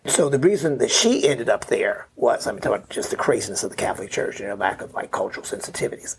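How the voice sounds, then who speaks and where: A man's voice: mid-range voice, lively intonation, fast talker, breathy edge, rough recording. A middle-aged man, a podcast guest, talking through a family story in a loose, rambling way, tagging his points with "right?" and "you know.". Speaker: podcast guest, memoir author. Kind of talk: conversational interview storytelling.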